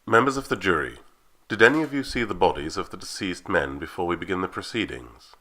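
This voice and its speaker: A man playing a formal coroner who questions witnesses in a measured voice, in an audio drama. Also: low-pitched, lively intonation, sharp tone, heavily acted. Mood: formal, probing. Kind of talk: scripted audio drama acting.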